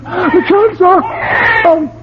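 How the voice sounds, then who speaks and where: An actor playing a grave, protective and fearful husband, earnest and dramatic in a 1970s radio drama. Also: male voice, very high-pitched, takes it slowly, shouting, a crowd in the background. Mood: horrified. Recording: very noisy, muffled 1970s radio recording.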